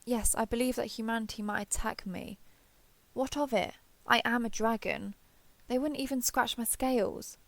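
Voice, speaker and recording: A woman's voice: fairly high pitch, moderate pace, gravelly, no echo. A woman voicing a proud, ancient female dragon in a fantasy roleplay audio, with formal, haughty, archaic phrasing. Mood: defiant, proud.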